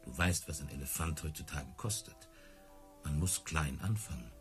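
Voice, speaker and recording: An actor playing an earnest, easily hurt big-cat tamer in love, in a radio play. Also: male voice, rumbling low, moderate pace, soft background music. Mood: earnest, defensive.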